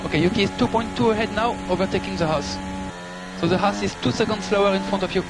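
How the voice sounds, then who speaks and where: High-pitched male race engineer on noisy radio. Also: steady tone, quick pace, creaky. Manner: clipped, procedural, repeats instructions. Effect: team radio. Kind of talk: team radio instructions and race updates. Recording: very noisy car team radio transmission. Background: loud car noise.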